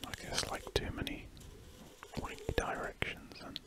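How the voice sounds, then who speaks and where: A British man speaking in a breathy whisper, rambling slowly and musingly in an ASMR style as he draws at a desk. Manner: slow, musing, trailing off. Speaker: ASMR artist. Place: indoors at a drawing desk.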